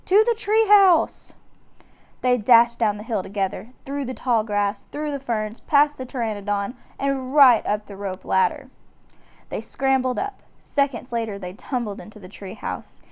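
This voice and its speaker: A woman's voice: fairly high pitch, moderate pace, clear, resonant tone. A woman narrating a children's book aloud, giving the descriptions dramatic emphasis. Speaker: storyteller.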